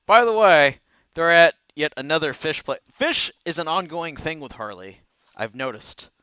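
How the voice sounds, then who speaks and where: A man co-hosting a casual chat, recapping episodes in an animated storytelling way. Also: fairly high pitch, moderate pace, smooth, dry recording. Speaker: co-host reviewing cartoon episodes.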